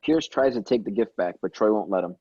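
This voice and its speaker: A man reads screenplay action lines as a scripted narrator. His tone is relaxed and a little husky. The recording is dry and slightly dull. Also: on the low side, a little fast, no room sound. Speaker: stage-direction reader at a table read.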